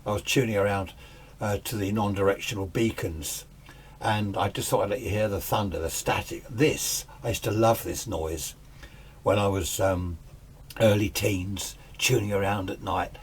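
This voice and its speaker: Man, low-pitched, chatty radio hobbyist. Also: steady tone, moderate pace. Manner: informal, chatty, self-deprecating. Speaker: amateur radio hobbyist and host. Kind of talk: casual hands-on demonstration commentary. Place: home radio shack, indoors. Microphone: camera's built-in microphone. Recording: camera microphone with hiss and background noise. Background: faint radio hiss and static.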